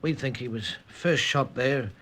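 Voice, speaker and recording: Husky British village constable. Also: male voice, medium pitch, unhurried, sharp tone. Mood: subdued. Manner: deferential, reporting. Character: bitter, deferential village police constable. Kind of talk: 1950s radio drama acting.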